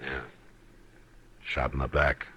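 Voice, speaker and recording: An actor playing a grave, steady Old West lawman in an old radio western, speaking in measured, terse phrases with hesitant pauses. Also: slightly rough, full character voice. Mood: grim, steady. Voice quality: very deep voice. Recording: old radio drama recording.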